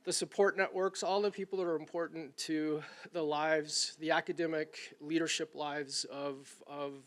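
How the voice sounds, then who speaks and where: A man opening a university department graduation ceremony, speaking with a scratchy voice and a relaxed, loose manner, dropping in Spanish words. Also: on the high side, normal speed. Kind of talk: ceremony welcome speech.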